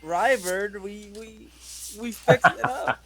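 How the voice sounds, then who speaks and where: A man's voice: sits high, slow, bright, forceful tone. A man playing a tabletop role-playing game, bantering casually and swearing freely. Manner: profane, bantering.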